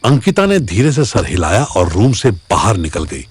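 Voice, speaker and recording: A man's voice: on the low side, sing-song, normal speed, breathy edge, faint music behind. A man with an Indian accent narrating a scripted dramatic audio story.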